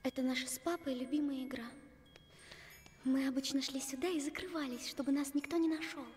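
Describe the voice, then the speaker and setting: Woman voicing a high, girlish cartoon goose princess. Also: steady tone, brisk, darkish tone, long reverb tail, close to an everyday voice. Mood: fond. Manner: girlish, coaxing. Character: plucky, affectionate young princess turned goose. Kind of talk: animated film acting.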